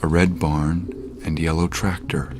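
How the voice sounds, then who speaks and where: A man narrating a suspense audio drama as a composed, deliberate storyteller. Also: very deep voice, flat delivery, background noise. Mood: measured suspense.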